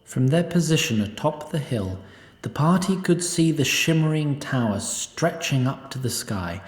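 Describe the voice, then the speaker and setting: A man's voice: a little high, animated, unhurried. A man voicing a snarky, timeless male sprite who narrates an audio drama with opinionated asides. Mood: wry. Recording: roomy echo.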